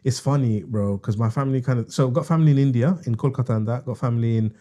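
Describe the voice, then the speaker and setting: A man's voice: medium pitch, rapid-fire, dark tone. A man from Bangladesh, co-hosting a podcast in a laid-back, slangy way. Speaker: podcast co-host. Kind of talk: casual chat.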